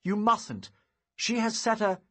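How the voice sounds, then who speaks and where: A man's voice: medium pitch, huge pitch swings, a little slow, slightly rough, exaggerated voice. A man playing an earnest young wizard with a theatrical streak in an audiobook story, speaking emphatically and dramatically. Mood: alarmed.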